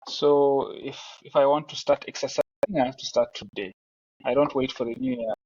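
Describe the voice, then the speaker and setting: A young man from Uganda, a film director, talking casually and haltingly with many restarts on a headset during a video call. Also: mid-range voice, slightly rough, no room sound. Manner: relaxed, halting, self-deprecating with restarts. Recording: dry, dull lo-fi call audio.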